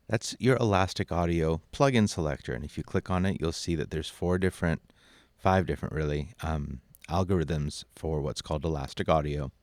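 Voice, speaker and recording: Male software instructor, deep voice, relaxed screencast delivery that thinks out loud, dry sound with no room. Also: no echo. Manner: informal, thinking aloud. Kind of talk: casual screen-recorded tutorial narration.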